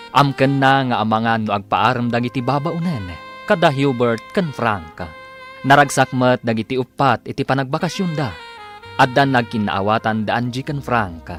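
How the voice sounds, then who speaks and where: A man's voice: medium pitch, fairly bright tone. A male radio drama announcer with an Ilocano Filipino accent and a mid-range voice. He delivers scripted narration with dramatic, swooping intonation over music.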